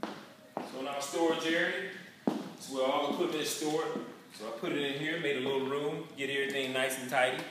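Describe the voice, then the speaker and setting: Upbeat gym owner, echoey distant audio. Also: male voice, mid-range voice, fairly even intonation, fairly quick, noisy background. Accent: Southern US. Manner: upbeat, boastful salesman patter. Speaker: gym owner giving a tour. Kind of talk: casual walking tour. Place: indoor gym, echoey rooms. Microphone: handheld camera following him. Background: music playing in the gym.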